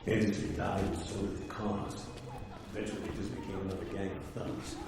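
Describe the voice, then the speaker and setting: An actor playing a weary, principled old American cop and father in a game cutscene, measured and plain-spoken. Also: low voice, fairly even intonation, noisy background. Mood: grave. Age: older. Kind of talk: video game cutscene acting. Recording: music under the dialogue.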